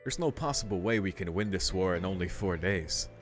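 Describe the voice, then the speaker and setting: A man's voice: low-pitched, brisk. Man reading a visual novel aloud. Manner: casual, reacting. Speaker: let's play host. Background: faint game music.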